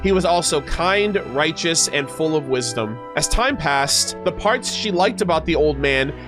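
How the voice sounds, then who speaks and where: A man's voice: fairly high pitch, expressive, a little slow. A man streaming, reading story text aloud and breaking off for casual, playful commentary. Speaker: streamer reacting to game lore. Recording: music playing behind the voice. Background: background music.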